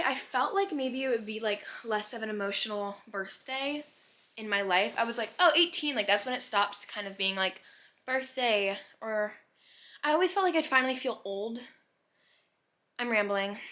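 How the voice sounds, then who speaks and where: A woman's voice: a little high, expressive, moderate pace, fairly bright tone. A young woman of about eighteen in a casual, rambling, reflective chat to viewers, musing and questioning herself with lots of "like". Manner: musing, self-questioning, heavy use of "like". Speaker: vlogger.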